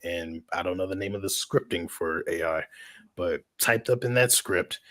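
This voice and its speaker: A man's voice: deep voice, moderate pace, a bit bright. A man co-hosting a podcast in casual chat, rambling with frequent restarts and laughter. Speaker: podcast co-host.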